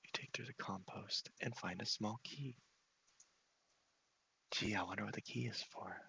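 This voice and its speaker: A man giving soft, half-whispered ASMR commentary on a game playthrough, murmuring to himself and reading text aloud in an unhurried way. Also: on the low side, vocal fry, slightly rough, muffled recording. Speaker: game streamer, video host.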